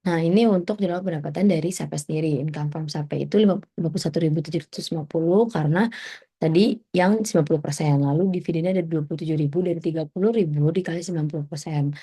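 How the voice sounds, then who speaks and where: A woman's voice: lowish voice, warm tone, husky. A young woman giving an informal student presentation, explaining step by step in a conversational way. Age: young adult.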